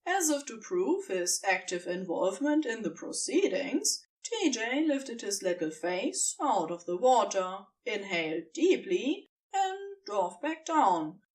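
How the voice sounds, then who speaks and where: Female narrator for fiction, fairly high and very melodic, expressive storybook read, recorded a little away from the mic. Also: far from the mic, low-quality recording.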